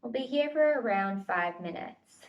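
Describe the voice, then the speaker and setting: A woman facilitator leading a guided body scan meditation with gentle, tentative invitations and pauses, recorded at home. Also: a little high, expressive, muffled recording. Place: at home, indoors. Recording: home recording, distant mic.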